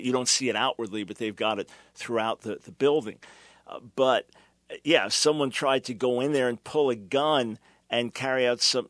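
A man's voice: medium pitch, a bit bright, dry recording. An older male host giving emphatic, preacherly commentary to his listeners. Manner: emphatic, preacherly, mocking at times. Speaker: radio show host.

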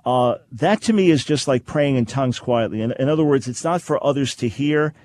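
A man hosting a call-in radio show, explaining his views to callers in a measured way and repeating phrases for emphasis. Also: mid-range voice, darkish tone. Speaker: radio talk show host. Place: radio studio. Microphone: studio microphone.

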